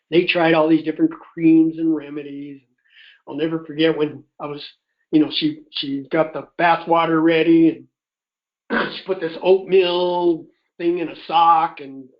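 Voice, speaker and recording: Higher-pitched man on a dull call recording. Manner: animated retelling with quoted dialogue. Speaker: host telling his personal health testimony. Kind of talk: informal personal storytelling on a conference call. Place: conference call. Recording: dull, muffled conference-call audio.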